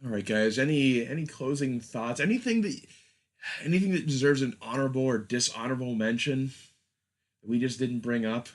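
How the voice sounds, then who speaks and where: A man's voice: mid-range voice, fairly quick. A man hosting a podcast in a loose, casual chatting style. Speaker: podcast host. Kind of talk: casual chat.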